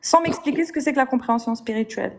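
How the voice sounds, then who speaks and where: A woman's voice: on the high side, lively intonation, rapid-fire, creaky, a little hiss or hum. A woman in an online debate, confrontational and impatient, firing off challenges.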